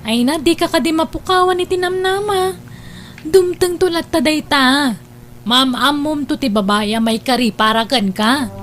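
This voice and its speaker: Actress as worried, scolding elder sister for audio drama, Ilocano accent, high-pitched voice, faintly noisy recording. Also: female voice, moderate pace, fairly bright tone. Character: nagging, worried older sister scolding her brother. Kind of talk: Ilocano radio-style audio drama acting.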